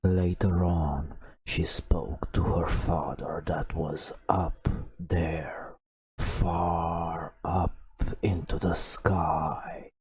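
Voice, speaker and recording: Very slow, low whispering man. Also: flat delivery, soft background music. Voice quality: breathy whisper with creaky low murmur. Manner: hushed, slow, drawn-out phrasing with pauses. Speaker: ASMR storyteller. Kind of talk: whispered ASMR bedtime story narration. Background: video game ambience.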